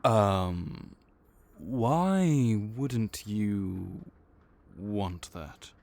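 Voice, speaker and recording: A man voicing a calm, bureaucratic male vampire counselor who has done the job for decades, speaking in a measured, clinical way in an audio roleplay. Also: on the low side, creaky, exaggerated voice. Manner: measured, clinical, patiently reassuring.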